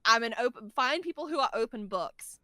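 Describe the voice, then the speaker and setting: A woman's voice: on the high side, lively intonation, brisk, bright, forceful tone, dry recording, clean studio sound. A woman chatting casually and effusively as a podcast guest, with a giggly, talkative manner. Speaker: guest voice actor.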